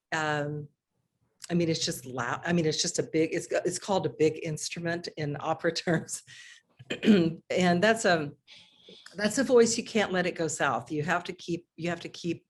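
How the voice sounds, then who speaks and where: Middle-aged woman, low voice, animated singer guest on a video call. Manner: chatty, restarts often, animated. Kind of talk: casual video interview. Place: home living room.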